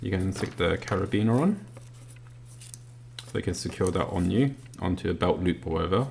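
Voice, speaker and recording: Low gravelly British man unboxing. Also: normal speed, a little hiss or hum. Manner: relaxed, filler-heavy, talks while handling items. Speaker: product reviewer. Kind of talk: casual unboxing walkthrough.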